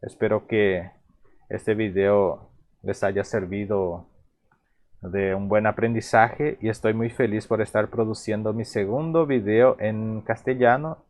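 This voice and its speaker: A man from Paraguay with a Paraguayan Spanish accent and a Guarani mother tongue, teaching phrases in a relaxed, halting way with many repeats and restarts. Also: fairly low pitch. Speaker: language teacher. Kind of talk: explaining vocabulary in a video lesson.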